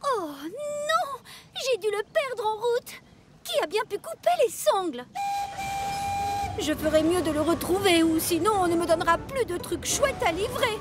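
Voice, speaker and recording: A woman voicing an eager, proud, easily flustered female steam engine in a French-dubbed children's cartoon, expressive and full of exclamations. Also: very high-pitched, a little slow, heavy background noise. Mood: distressed. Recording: music behind the voice.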